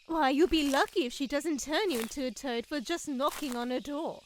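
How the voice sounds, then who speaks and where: A woman's voice: high-pitched, moderate pace, slight background noise, natural voice. A woman playing a teasing, cautious fairy friend in a fantasy comedy audio drama. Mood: teasing, ominous. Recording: faint outdoor cricket ambience behind voice.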